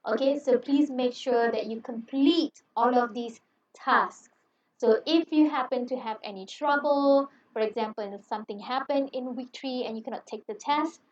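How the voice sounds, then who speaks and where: A young Malay-native woman gives a chatty university English lecture with plenty of "um"s and reassurances. Her voice sits a little high. The sound is roomy and dull, as if she were set back from the mic. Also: distant mic. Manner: friendly, chatty, reassuring with many fillers. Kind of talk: recorded online lecture. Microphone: headset.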